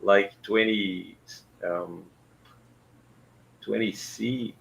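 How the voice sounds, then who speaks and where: A man from Brazil with a Brazilian Portuguese accent, speaking as a caller in a casual chat in halting English and searching for words. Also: fairly low pitch, steady tone, vocal fry. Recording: dull lo-fi line.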